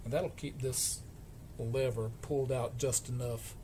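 A man giving an informal, halting, explanatory narration of a hands-on repair, as a repair vlogger at a workbench. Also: medium pitch, fairly even intonation, warm tone. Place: indoor electronics workbench. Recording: clearly noisy workshop camera recording.

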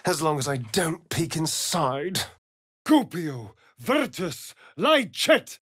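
A man's voice: fairly high pitch, sing-song, takes it slowly, a bit bright, slightly rough, no room sound, clean studio sound, full character voice. A man with a British accent voicing a vain, sardonic, seductive vampire rogue in a fantasy video game, with an arch, flamboyant, mocking manner. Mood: incanting.

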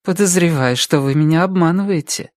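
A woman's voice: fairly low pitch, fairly quick, darkish tone, dry recording, studio quality, voice pushed up, lightly acted. A woman voicing a wary, firm mother who doubts what she is told, in an audiobook reading. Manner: skeptical, firm.

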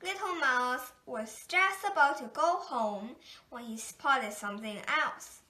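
A woman reading a children's picture book aloud in a gentle, unhurried storytelling manner. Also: high-pitched, animated. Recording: echoey room.

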